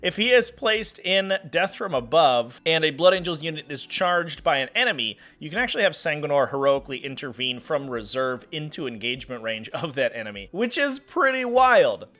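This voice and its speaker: A young man with a hoarse voice from a cold, hosting and commenting on tabletop game tournaments in an upbeat, chatty way. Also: on the high side, bright, forceful tone. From New England, US. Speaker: host.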